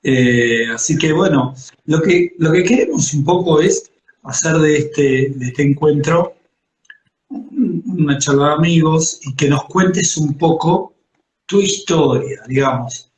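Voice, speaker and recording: A man with an Argentine accent co-hosting a casual interview, friendly and halting. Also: on the high side. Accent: Argentine Spanish. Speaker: co-host. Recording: echoey room with faint noise.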